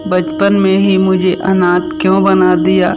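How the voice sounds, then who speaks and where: A man's voice: high voice, monotone, unhurried, dull audio. A man playing a sorrowful, devout village boy in a cartoon story, pleading plaintively. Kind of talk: Hindi cartoon story dialogue. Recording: music behind the voice.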